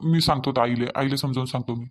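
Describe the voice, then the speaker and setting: A man's voice: medium pitch, steady tone, lightly acted. A man voicing an earnest, anxious young grown son in a family cartoon, repeating himself, with a Varhadi Marathi accent. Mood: concerned. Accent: Varhadi Marathi of Vidarbha. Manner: earnest, hurried, repetitive.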